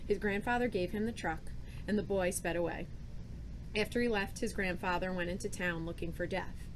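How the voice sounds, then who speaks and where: A woman's voice: lowish voice, normal speed, slight background noise, poor audio. A woman reading a short story aloud as a scripted narration. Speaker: library staff reader.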